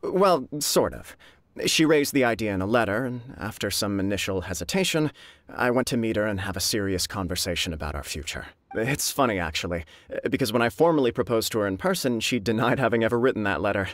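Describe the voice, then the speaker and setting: Earnest young gentleman, lowish voice. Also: lively intonation, brisk, dry recording. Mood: smitten. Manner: earnest, polite, talkative. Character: earnest, smitten young man of good family, polite. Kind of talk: video game character acting. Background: game music.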